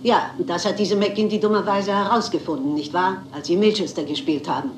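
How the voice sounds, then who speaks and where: Woman acting a shrewd old lady detective, measured and firm, radio drama, echoey room, bit of noise. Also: medium pitch, steady tone, lightly acted. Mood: calm, probing. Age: older. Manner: measured, polite, resolute. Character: shrewd, composed elderly lady amateur detective. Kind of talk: German crime radio play acting.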